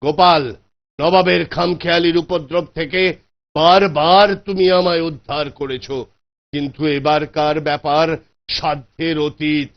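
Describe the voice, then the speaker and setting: A man's voice: fairly even intonation, unhurried. A man voicing an anxious, exasperated Maharaja in a cartoon, commanding yet fretful. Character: anxious, exasperated male Maharaja holding court. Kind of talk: Bengali cartoon voice acting. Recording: muffled low-fidelity track with music.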